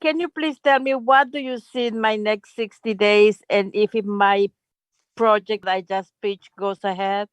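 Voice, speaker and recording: A woman's voice: mid-range voice, steady tone, unhurried, no room sound. A woman with the flu calling into a live show, upbeat and eager for a reading. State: has the flu. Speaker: caller. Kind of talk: asking a question on a video call.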